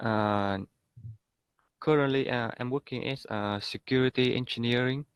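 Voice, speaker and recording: Man from Vietnam, participant, hesitant with many "uh" pauses, smooth voice, dry sound. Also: medium pitch, steady tone, no room sound. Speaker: course participant. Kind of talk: self-introduction on a call.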